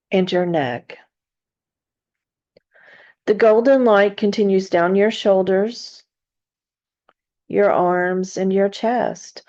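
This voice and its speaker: A woman hosts a guided meditation at a very unhurried pace. Her voice is mellow and dark, with gentle, deliberate phrasing and long pauses between thoughts. The recording sounds slightly dull and muffled. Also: medium pitch. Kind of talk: slow, soothing guided meditation narration.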